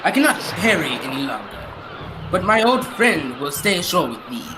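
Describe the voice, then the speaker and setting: A man's voice: on the high side, sing-song, normal speed. Young man acting an anguished, melodramatic doomed sea captain. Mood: solemn, resolute. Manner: solemn, archaic, melodramatic declamation. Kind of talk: recreated old-time radio drama acting. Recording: clearly noisy amateur stage recording.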